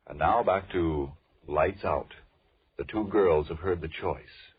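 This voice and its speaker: A man's voice: deep voice, slow, breathy edge. A male radio announcer reading scripted narration in a dramatic, emphatic 1940s style. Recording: muffled, tinny old radio recording.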